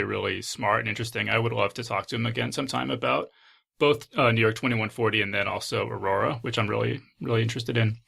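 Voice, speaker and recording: A man hosting a casual interview podcast, asking questions in a hesitant, halting way. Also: medium pitch, gravelly, bright, forceful tone.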